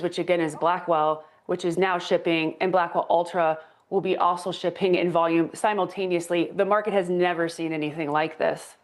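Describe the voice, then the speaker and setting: Lower-pitched woman analyst on a market news show, hammers her points home. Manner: emphatic, repeats key points. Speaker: tech stock analyst guest. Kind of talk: financial TV interview.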